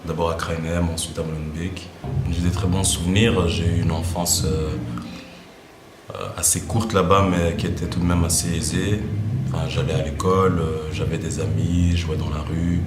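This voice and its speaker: A man's voice: sits low, little pitch movement, normal speed, noisy background. A man talking casually in an interview, reflective and hesitant as he searches for words. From Rwanda and Brussels, Belgium. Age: 30s. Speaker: slam poet and rapper being interviewed. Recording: roomy echo with music playing underneath. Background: music.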